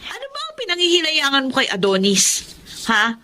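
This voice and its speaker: A blunt, protective young Filipino woman in a radio drama. Her voice is high and very animated as she probes and advises, with faint noise behind it. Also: moderate pace, exaggerated voice. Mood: suspicious, scolding. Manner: blunt, scolding, probing. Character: blunt, protective female best friend.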